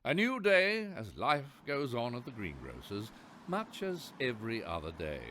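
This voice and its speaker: A man narrating a radio play in a wry, theatrical storyteller's manner with deliberate emphasis. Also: medium pitch, wildly swooping pitch, bright, forceful tone, slight background noise.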